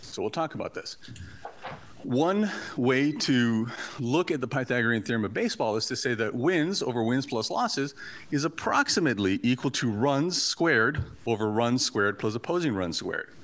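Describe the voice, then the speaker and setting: A Canadian-raised man whose first language is Portuguese, giving a lively, joking academic talk in a lecture hall. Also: medium pitch, normal speed. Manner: lively, chatty, joking asides. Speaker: invited conference speaker.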